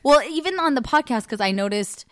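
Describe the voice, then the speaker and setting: A woman's voice: high-pitched, animated, fairly quick, no echo, clean studio sound. A woman hosting a podcast in a casual group chat, telling stories animatedly and acting out her own thoughts. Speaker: podcast host.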